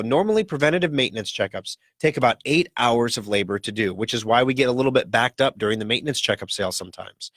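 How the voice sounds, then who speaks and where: A man hosting a casual talk radio show in a rambling, joking way, his voice rough and scratchy from a cold. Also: medium pitch, fairly bright tone, dry recording.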